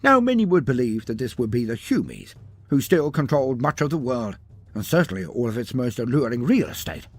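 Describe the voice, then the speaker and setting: Husky man narrating dramatically. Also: mid-range voice, very animated, a little fast. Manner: theatrical, with emphatic short phrases. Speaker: storyteller narrator. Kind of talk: dramatic scripted fantasy narration.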